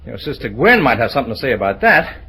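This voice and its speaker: An actor playing a stubborn, aggrieved young boyfriend, blunt and exclamatory, in a 1950s radio comedy. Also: male voice, medium pitch, big pitch swings, fast, pushed and bright. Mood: incredulous. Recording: noisy old radio recording.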